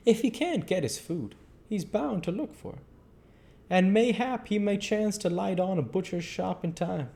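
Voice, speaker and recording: Man putting on a high-pitched old zookeeper. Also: dark tone. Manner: rambling folksy dialect, dropped grammar, emphatic. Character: talkative old Cockney zookeeper, folksy and opinionated. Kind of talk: audiobook character voice.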